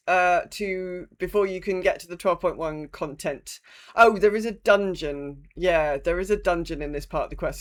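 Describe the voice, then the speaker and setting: Woman podcast co-host, informal and rambling. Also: on the low side. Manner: informal, rambling, mild swearing. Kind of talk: casual chat about gaming news.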